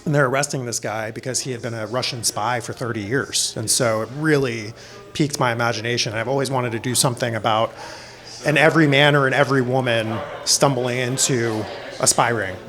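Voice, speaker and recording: A man's voice: mid-range voice, steady tone, brisk, fairly bright tone. A middle-aged American man from Florida, a film director talking casually and telling personal stories as an interview guest. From Florida, United States. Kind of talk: casual panel talk, personal storytelling.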